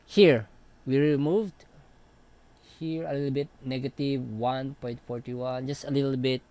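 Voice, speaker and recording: Slow-talking baritone man. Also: no room sound. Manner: relaxed, hesitant, many fillers. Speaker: audio mixing tutor. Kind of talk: casual walkthrough of vocal mixing plugins.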